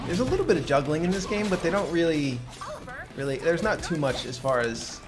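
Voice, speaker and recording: A man's voice: fairly high pitch, animated, a little fast. A man giving casual, explanatory commentary on a co-op video game, feeling his way through his sentences. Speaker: gaming video host. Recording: game music behind the voice. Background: game music.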